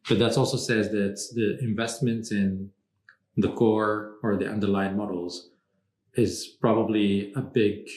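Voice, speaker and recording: A man originally from Morocco, speaking as a podcast guest in a measured, thoughtful way with frequent pauses. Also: lowish voice, flat delivery, a little mellow, roomy sound, poor audio. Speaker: podcast guest, strategy consultant. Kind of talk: conversational interview.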